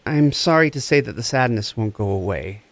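An actor playing a gentle, encouraging empath therapist, speaking in a reassuring, deliberate way in a scripted audio drama. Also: male voice, mid-range voice, lively intonation, no echo, lightly acted. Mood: calm, supportive. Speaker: actor in a fiction podcast.

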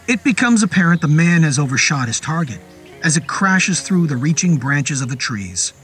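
A man narrating a scripted horror story in a deliberate, literary and descriptive manner. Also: on the high side, big pitch swings, fairly bright tone. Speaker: narrator of a horror fiction podcast. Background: music and rain sound effects.

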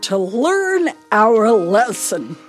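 An actress playing a forgetful elderly wife in a radio play, teasing and fretful with a deliberate, wistful manner. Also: female voice, fairly high pitch, very animated, soft background music, full character voice. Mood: wistful, distressed.

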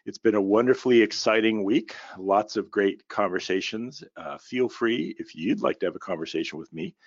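A man hosting a business podcast alone, talking in a folksy way with many fillers, his voice a little raspy and tired. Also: on the low side, animated, normal speed, dry recording, dull audio. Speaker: podcast host and company founder. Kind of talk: solo business podcast monologue.

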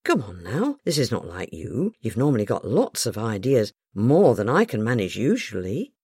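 A mother of young children, voiced by a woman storyteller. She is brisk and coaxing with a strained edge, in a close, dry storybook reading. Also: very deep voice, big pitch swings, a little mellow, slightly rough, dry recording, heavily acted. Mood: mildly exasperated.

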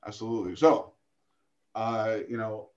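Gravelly-voiced man rambling as a guest. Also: on the low side, little pitch movement, normal speed, darkish tone, highs cut off. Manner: rambling, self-deprecating, frequent restarts. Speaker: podcast guest. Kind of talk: casual interview chat.

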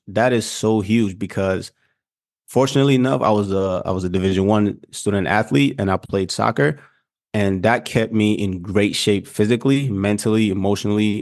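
A man's voice: lowish voice, dry recording, clean studio sound. A young man from Ghana, co-hosting a podcast, talking in an earnest, reflective way in casual chat. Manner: earnest, reflective, loosely structured. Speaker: podcast co-host.

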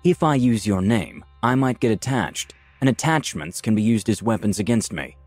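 A man voicing a cool, sardonic male assassin in a fantasy story, speaking in a flat, deliberate way. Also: lowish voice, no room sound, soft background music. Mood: dryly sardonic. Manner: soft, flat, deliberate, matter-of-fact. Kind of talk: dramatised fantasy story reading.

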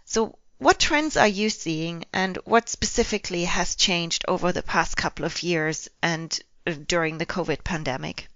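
A woman's voice: lowish voice, moderate pace, hardly any pauses, a bit bright, dry recording, lo-fi sound. A woman hosting a podcast, politely reading prepared interview questions. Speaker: podcast host.

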